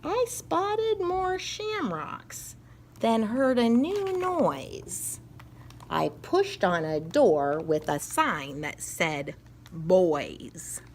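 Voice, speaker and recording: Animated, unhurried woman reading a children's story. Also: on the high side, a little hiss or hum. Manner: dramatic, measured storytelling. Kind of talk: expressive rhyming picture-book narration.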